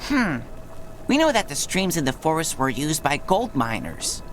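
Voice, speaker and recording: A small, clever cartoon boy creature for a game, earnest and a bit self-important. The delivery is lively and bookish, with precise, curious phrasing and plenty of rise and fall. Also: low-pitched, moderate pace, a little hiss or hum, low-quality recording. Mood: pondering. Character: earnest, brainy, self-important young male Smurf, small blue creature. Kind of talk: cartoon video game acting.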